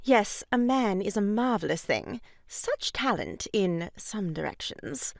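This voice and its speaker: A woman's voice: mid-range voice, very animated, takes it slowly, creaky, dry recording, clean studio sound, full character voice. A woman playing an arch, mock-pious young sister-in-law in a comic stage farce, scolding in a grand theatrical way. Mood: arch, teasing.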